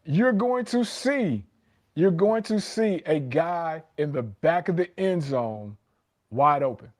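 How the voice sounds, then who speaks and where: An emphatic man in casual sports talk, his voice a little high. He hammers his points by repeating them. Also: lively intonation, slow pace.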